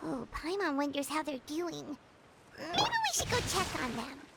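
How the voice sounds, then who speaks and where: A woman voicing a small, excitable, childlike fairy companion with a squeaky voice in an anime video game. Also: measured pace, creaky, very noisy, full character voice. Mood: concerned. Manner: perky, refers to self in third person. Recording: loud game music behind the voice.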